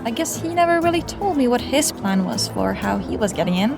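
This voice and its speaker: An actress playing an anxious young woman infiltrator who mutters to herself in a hushed, self-questioning way in an audio drama. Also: fairly high pitch, very animated, brisk, vocal fry, over music. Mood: frustrated.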